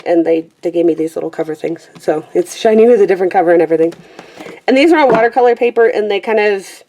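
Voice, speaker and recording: A woman's voice: mid-range voice, a little mellow. Chatty woman rambling about her books. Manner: chatty, self-deprecating, trails off with fillers and guesses at names. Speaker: vlogger showing her colouring book collection. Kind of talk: casual rambling chat to camera. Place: small room at home with a pet squeaking nearby.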